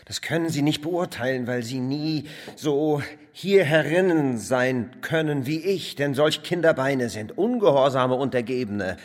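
An actor playing a bitter, self-loathing crippled pawnbroker in a radio drama, theatrical and halting, often dropping to a whisper. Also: male voice, mid-range voice, expressive, close to an everyday voice. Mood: bitter despair. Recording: long hall reverb.

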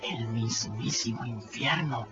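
A man narrating in first person for a radio drama, earnest and reflective. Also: takes it slowly. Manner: earnest, reflective, rises in pitch when moved. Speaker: narrator voicing a boy. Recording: muffled radio audio with music.

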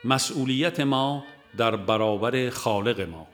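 Man narrating a religious book over music. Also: medium pitch. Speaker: audiobook narrator.

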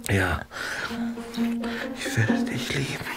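Deep, gravelly, guarded lover. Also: male voice, animated, slow pace, loud music behind the voice, full character voice. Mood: tender, hushed. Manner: terse, blunt. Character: blunt, taciturn working-class male lover, gruff and guarded. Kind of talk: intimate radio play dialogue.

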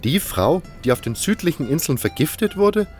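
Man as male newcomer convict, inquisitive, impressionable, lively intonation, fantasy audio drama, light music. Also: on the high side, normal speed, pitched above the performer's own voice. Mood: excited, awestruck. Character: curious, awestruck newcomer male prisoner.

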